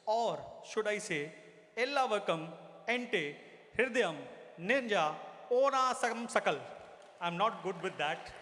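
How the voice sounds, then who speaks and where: A man's voice: high voice, a bit bright. A man giving a chairman's address to a crowd, chatty and playful. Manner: chatty and playful, teasing the audience. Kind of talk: stage address at a community celebration. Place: large event hall. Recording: echoey hall with long reverb.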